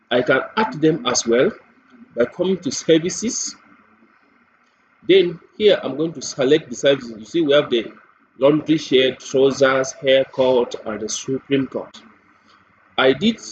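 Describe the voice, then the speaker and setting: A man with a Nigerian accent walks through a software product one step at a time, speaking off the cuff. His voice sits at an average pitch. The recording is dull and lo-fi, with a faint layer of noise behind him. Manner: step-by-step explaining. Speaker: software demonstrator. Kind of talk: screen-recorded product walkthrough, unscripted.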